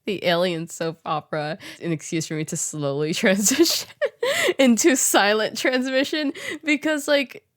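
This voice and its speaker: A woman's voice: mid-range voice, normal speed. A woman interviewing a guest in a casual, rambling and giggly way, reacting openly to what she hears. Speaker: host, interviewer.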